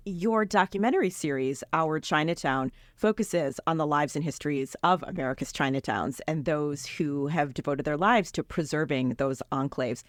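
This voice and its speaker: A woman hosting a podcast, asking measured, formal interview questions. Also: low voice, no echo, clean studio sound. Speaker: podcast host. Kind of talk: reading prepared interview questions.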